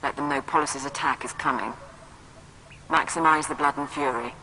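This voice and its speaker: An actor playing a brisk, wry intelligence operative in a video game, briefing a commander in a glib, informal way. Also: mid-range voice, fairly even intonation, pushed and bright, a little hiss or hum, lightly acted, low-quality recording. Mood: calculating. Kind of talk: video game cutscene acting. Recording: music behind.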